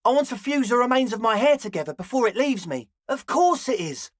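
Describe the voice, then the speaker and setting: A man's voice: very high-pitched, expressive, fairly quick, no room sound, studio quality. A male actor playing an eager, earnest young raver in a video game, mixing slacker slang with grandiose, slightly pompous phrasing. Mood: defensive. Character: balding twenty-year-old raver dreamer, eager, earnest, slightly pompous. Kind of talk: video game character dialogue.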